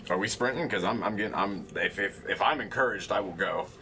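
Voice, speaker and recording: A man's voice: on the low side, fairly quick, bright, forceful tone, slight background noise. A man talking casually as a player in a tabletop game with a group.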